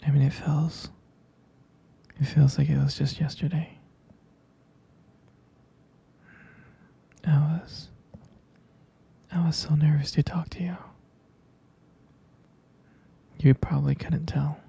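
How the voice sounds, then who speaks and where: Man acting as devoted jealous boyfriend, very husky half-whisper, heavy vocal fry, hesitant, intimate ASMR roleplay. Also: a little high, flat delivery, mellow, heavily acted. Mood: tender, nostalgic. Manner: hesitant, intimate, halting delivery. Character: tender, jealous, devoted boyfriend soothing his partner.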